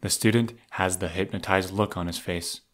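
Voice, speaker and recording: A man's voice: sits low, steady tone, normal speed. A man reading scripted action narration for an audio drama. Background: music.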